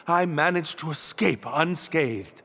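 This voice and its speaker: A man's voice: fairly high pitch, slow, a bit bright, long reverb tail, full character voice. A man voicing an earnest, bashful young prisoner in a video game, speaking hesitantly and sincerely. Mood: bashful, grateful. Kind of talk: dramatic video game acting.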